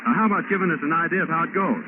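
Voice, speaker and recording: A man's voice: fairly high pitch, racing, phone-quality sound, background noise. An American man working as a military radio reporter, conducting a field interview in 1940s radio style on an old wire recording. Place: enlisted men's club at a party.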